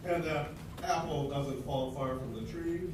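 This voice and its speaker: Older man as a grumbling aging superhero husband, bickering, slightly high voice, far from the mic, echoey hall with noise. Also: a little slow, lightly acted. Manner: bickering, wry complaining. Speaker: readers theatre performer. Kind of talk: amateur readers theatre acting. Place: senior center hall.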